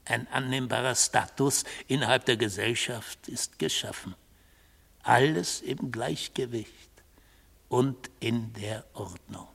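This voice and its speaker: An actor in a German radio drama playing a mystical god on trial, a fanatical defender of order who speaks solemnly and insistently, at times dropping to a hushed intensity. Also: male voice, medium pitch, slow pace, creaky, slightly rough, full character voice. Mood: scornful. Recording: long hall-like reverb.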